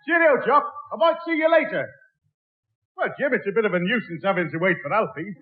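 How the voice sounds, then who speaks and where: Breezy, very high-voiced chatterbox. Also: male voice, very animated, a little fast. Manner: breezy, jokey, malaprop-prone patter. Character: chatty, matey working-class man mangling long words. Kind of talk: 1960s radio sitcom acting. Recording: tinny old radio broadcast recording.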